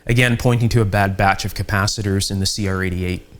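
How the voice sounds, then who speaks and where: A man giving an unhurried, methodical hands-on electronics repair demonstration, with conversational asides as he explains each step. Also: sits low, fairly even intonation. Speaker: host, electronics repair instructor. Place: indoor electronics workshop.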